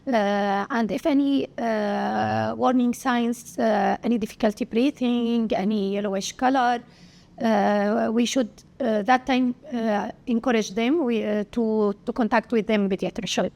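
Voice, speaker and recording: A woman from Syria with an Arabic accent, a pediatrician answering interview questions haltingly, with many pauses and filler sounds. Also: fairly high pitch, fairly even intonation, gravelly, darkish tone. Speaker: pediatrician guest.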